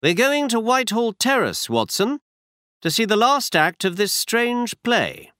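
A man's voice: high-pitched, very animated, unhurried, sharp tone, no echo, studio quality, pitched above the performer's own voice, full character voice. A man playing an insistent detective. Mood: calm. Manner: firm, insistent. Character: cool, insistent male detective.

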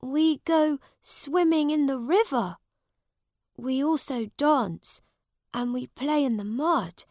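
A woman's voice: high voice, slow pace, darkish tone, dry recording, clean studio sound, pitched above the performer's own voice. A woman voicing a poor young storybook boy who is polite, honest and earnest. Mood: cheerful, open. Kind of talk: storybook character voice.

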